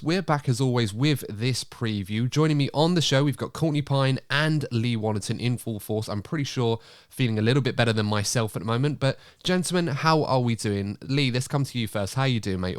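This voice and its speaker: A man hosting a podcast, chatty and upbeat, a little croaky from a cold. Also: mid-range voice, dry recording, studio quality. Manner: chatty, upbeat, running the show. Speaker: podcast host. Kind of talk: casual podcast chat.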